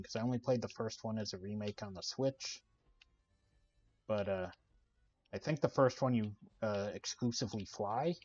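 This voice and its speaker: Male gaming host, low-pitched and soft-spoken, laid-back commentary, lo-fi mic sound. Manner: relaxed, many "uh" fillers, explains the game while playing. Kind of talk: casual gameplay commentary. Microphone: desk microphone.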